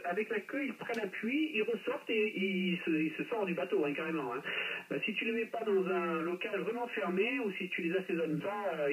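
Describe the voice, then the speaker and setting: A man chatting casually as a radio operator, pausing often and tagging his phrases, heard through a radio transmission. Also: high voice. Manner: hesitant phrasing with constant "hein" tags. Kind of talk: casual radio chat about a hobby. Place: playback from speakers captured by a phone. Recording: radio reception re-recorded off speakers, distant.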